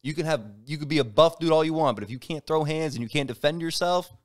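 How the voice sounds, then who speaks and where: A man's voice: fairly high pitch, quick pace. A young man hosting a casual podcast, talking bluntly and swearing often. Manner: blunt, swears a lot, fired-up. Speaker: podcast host.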